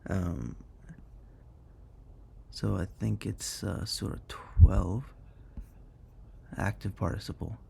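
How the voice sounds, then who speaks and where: Native Arabic-speaking man, dark mellow low voice, relaxed and chatty, teaching with frequent fillers. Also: slow, gravelly, pauses often, a little hiss or hum. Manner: conversational, many fillers and self-corrections. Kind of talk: informal online lesson on Arabic morphology.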